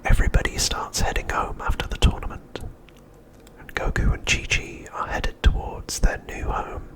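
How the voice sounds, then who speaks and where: A man narrating an ASMR recap in a soft, breathy, unvoiced whisper, hushed and unhurried. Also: poor audio. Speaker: ASMR host.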